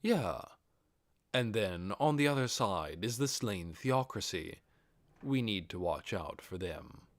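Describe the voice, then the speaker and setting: A man voicing a commanding undead overlord in an audiobook, explaining things in a measured, deliberate way. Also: on the low side, lively intonation, no echo. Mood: serious, explaining.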